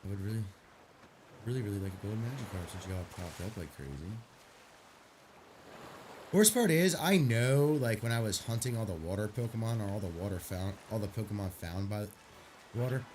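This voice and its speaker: A man's voice: on the low side, lively intonation, normal speed, pauses often, darkish tone, slight background noise. A man streaming a game, chatting casually and rambling, stuffy from a sinus headache. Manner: rambling, laid-back, swears casually.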